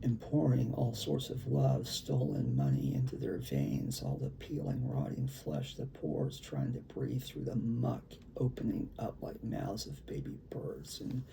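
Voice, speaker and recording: Man, heavy vocal fry, dark relaxed tone, spoken-word poetry reading, deliberate emphatic phrasing, faint background noise. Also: mid-range voice. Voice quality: gravelly, creaky. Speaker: poet reading own work.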